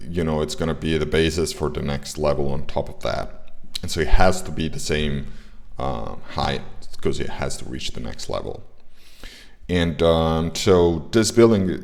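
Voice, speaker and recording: Slow, very deep-voiced Italian man. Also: vocal fry. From Italy. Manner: hesitant, many fillers and restarts. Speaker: indie game developer streaming. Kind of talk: casual livestream commentary.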